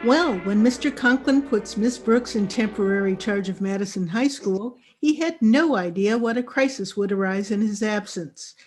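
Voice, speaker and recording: A woman's voice: mid-range voice, moderate pace, hardly any pauses, a little mellow, soft background music, close to an everyday voice, low-quality recording. A woman narrating a radio comedy as a composed storytelling announcer, reading from a script.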